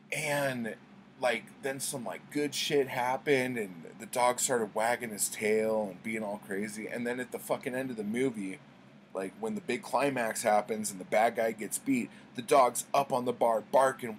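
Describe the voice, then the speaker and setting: A man's voice: medium pitch, slight background noise. A middle-aged man talking casually and conversationally to camera in a rambling, slangy, profane way, as a vlogger at home. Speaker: book vlogger. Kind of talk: casual chat, rambling storytelling. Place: small indoor room at home with bookshelves.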